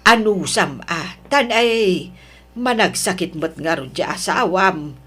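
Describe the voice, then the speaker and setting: An Ilocano woman acting a patient older mother who soothes her grown daughter in an audio drama, her voice husky. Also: lowish voice, animated, slow pace, fairly bright tone, exaggerated voice. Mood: soothing, patient.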